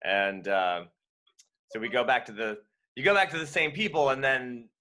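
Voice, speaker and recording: A man hosting a tour company video call, relaxed and joking. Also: mid-range voice, normal speed. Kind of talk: casual chat on video call.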